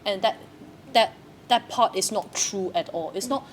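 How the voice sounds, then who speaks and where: A woman's voice: medium pitch, normal speed. A young woman, a theatre practitioner, talking in a searching, questioning way and often repeating herself. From Hong Kong. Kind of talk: reflective conversation. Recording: faint background noise.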